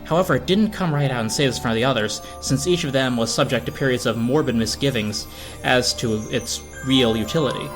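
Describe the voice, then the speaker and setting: A man's voice: medium pitch, a little fast, low-quality recording. A man reading a story aloud as narrator, in a dramatic storybook style. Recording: music behind the voice. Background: film score music.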